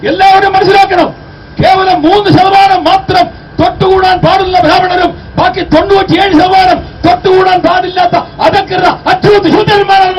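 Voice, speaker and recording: A man giving an impassioned public address, exhorting listeners with rhetorical questions in a declamatory manner. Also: extremely high pitch. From Kerala, India. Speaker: activist, public speaker. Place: outdoor street rally. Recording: distant mic, outdoor street noise.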